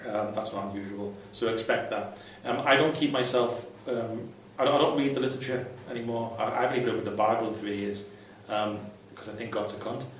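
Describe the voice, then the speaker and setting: A man's voice: on the low side, steady tone, fairly quick, hardly any pauses. A man giving casual, unscripted advice to viewers in a blunt, irreverent, sweary way. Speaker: vlogger, ex-Jehovah's Witness advice host. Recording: very echoey room, distant mic, faint noise.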